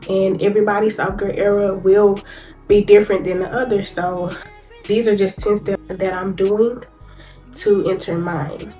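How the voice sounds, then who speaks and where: A woman's voice: mid-range voice, a little mellow. A young woman with vlogging to camera, sitting down. She is congested from a cold, and she talks casually to her viewers, calling them y'all. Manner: chatty, addresses viewers as y'all, reads notes from her phone. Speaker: YouTuber. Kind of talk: sit-down casual vlog talk to camera. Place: indoors, sitting down.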